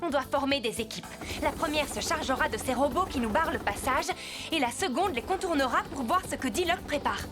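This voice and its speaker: A level-headed young cartoon teammate who organizes the group. Her voice sits high and steady. Music plays clearly behind her. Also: brisk. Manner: calm, organizing. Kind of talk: French cartoon dubbing.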